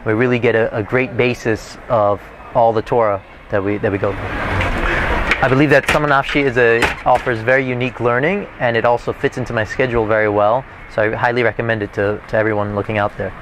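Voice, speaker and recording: A man's voice: medium pitch, steady tone, brisk, noisy background. A man giving an informal testimonial as a student. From Florida, USA.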